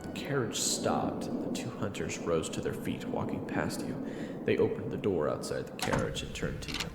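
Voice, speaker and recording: Male storyteller, scripted narration, extremely low gravelly voice, expressive, long reverb. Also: normal speed. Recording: reverberant, echoey voice over music.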